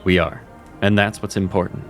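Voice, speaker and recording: A man's voice: low voice, little pitch movement, moderate pace, soft background music, natural voice. A man voicing a cryptic male mage mentor in an audio drama, easygoing and teasing, often chuckling as he talks. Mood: reassuring.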